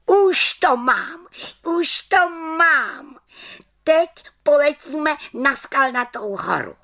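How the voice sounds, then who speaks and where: Little storybook witch, played by a woman, plucky, resolute, high-pitched, forceful bright tone, wildly swooping. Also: expressive, full character voice. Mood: triumphant. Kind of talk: storybook character voice.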